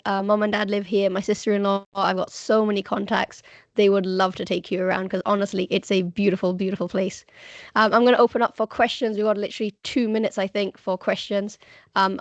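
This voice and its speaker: A woman teaching the Punjabi language who talks in a chatty, enthusiastic way, with a croaky voice today, speaking on a video call. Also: mid-range voice, no room sound. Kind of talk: casual presentation on a video call. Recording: dull, lo-fi video call audio.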